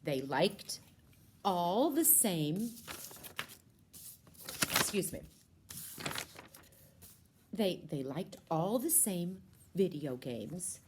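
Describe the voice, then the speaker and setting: A woman's voice: fairly low pitch, sing-song, drawn-out words, pauses often, noisy background. A woman storyteller narrating a children's puppet show.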